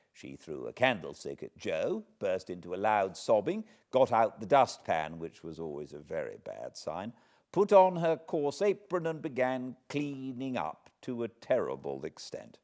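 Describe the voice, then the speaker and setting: A British man reading a classic novel aloud as an audiobook narrator, with expressive, deliberate phrasing. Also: mid-range voice. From Britain. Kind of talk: dramatic literary storytelling, read aloud.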